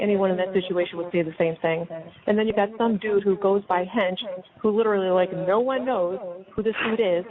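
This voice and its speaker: A middle-aged American woman talking and reading aloud casually on a phone call, blunt and indignant, stumbling now and then. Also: mid-range voice. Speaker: mother on a jail phone call. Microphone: speakerphone. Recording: muffled phone line on speakerphone, chatter behind.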